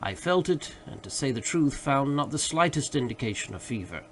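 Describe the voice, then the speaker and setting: A man narrating a literary audiobook in a formal, expressive manner. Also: mid-range voice, moderate pace, a little hiss or hum.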